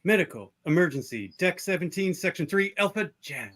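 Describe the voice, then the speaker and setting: Bright-voiced starship first officer played by a man. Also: sits high, animated, fairly quick. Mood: urgent. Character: easygoing, jazz-loving male first officer.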